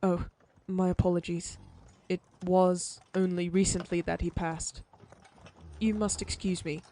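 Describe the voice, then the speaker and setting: A woman's voice: fairly low pitch, level tone, unhurried, warm tone, lightly acted. A woman voicing a prim, sympathetic frontier lawyer in an audio roleplay, speaking formally with old-fashioned phrasing. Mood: calm, polite.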